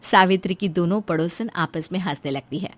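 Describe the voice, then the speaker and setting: A woman's voice: fairly low pitch, a little fast. A woman narrates a moral story. She reads scripted lines as the storyteller, setting out the scenes between the dialogue.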